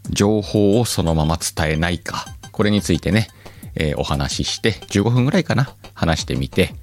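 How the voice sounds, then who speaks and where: A man's voice: low voice, animated, normal speed, faint music behind. A man hosting his own talk show, musing aloud in a loose, self-mocking way and chuckling at his own slips, with a lilt. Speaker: podcast host. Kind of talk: casual solo radio-style talk.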